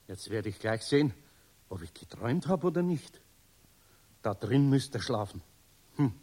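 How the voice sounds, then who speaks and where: An actor playing a kindly, flustered older master carpenter in a children's radio play, with a husky voice and Bavarian accent, often muttering or exclaiming. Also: male voice, medium pitch, sing-song, moderate pace, dark tone. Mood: searching, anxious. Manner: muttering to himself, hesitant, exclaiming.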